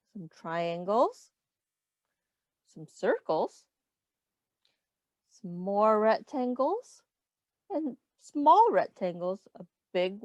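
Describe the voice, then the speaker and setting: Lady teacher doing a read aloud for little kids, really up and down pitch, simple explaining, close dry sound. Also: no room sound, highs cut off. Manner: simple instructive phrasing aimed at young children. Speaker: teacher reading a picture book aloud. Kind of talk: children's read-aloud lesson, asking questions and counting.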